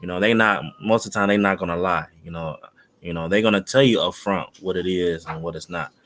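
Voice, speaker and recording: A man, chatting on a podcast in a relaxed, rambling way full of fillers. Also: deep voice, a little fast. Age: 30s. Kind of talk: casual podcast chat.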